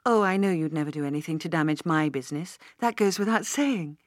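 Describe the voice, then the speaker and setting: A woman's voice: sits low, a little fast, no echo, natural voice. A shrewd, loyal British businesswoman from a novel voiced by a woman narrator. She is poised and polite but decisive. The sound is dry and close. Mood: probing, agreeable.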